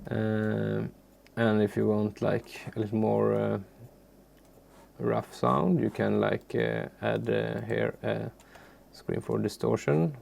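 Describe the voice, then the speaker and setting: A man from Sweden, a native Swedish speaker, giving an informal, unscripted software tutorial in a halting, unassuming way with a gravelly, creaky voice. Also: lowish voice, steady tone. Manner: halting, searching for words, unassuming. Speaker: music software tutorial presenter. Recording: faint background noise, keyboard clicks.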